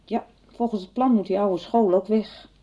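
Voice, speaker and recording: A woman playing an expressive, sardonic artist in a radio soap, exclamatory and mocking. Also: mid-range voice, moderate pace, natural voice, poor audio. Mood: weary, mocking. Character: expressive, sardonic female artist living in a threatened neighborhood. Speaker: actor in a radio serial. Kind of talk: 1990s Dutch radio soap acting.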